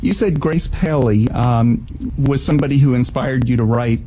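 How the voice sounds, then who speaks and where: Mellow-voiced male radio host. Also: mid-range voice, moderate pace, slight background noise, poor audio. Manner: relaxed, with filler "um" and "uh". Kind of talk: radio interview chat.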